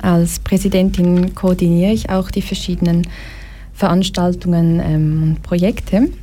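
A young woman from Brazil, a radio guest in a studio, telling her story in a calm, measured way. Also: fairly low pitch. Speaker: guest, association president. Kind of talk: radio interview.

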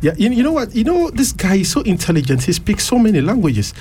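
A man hosting a live radio interview from a studio, chatty, laughing often and filling pauses with ums. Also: high-pitched, sing-song, darkish tone, a little hiss or hum. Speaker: radio DJ host.

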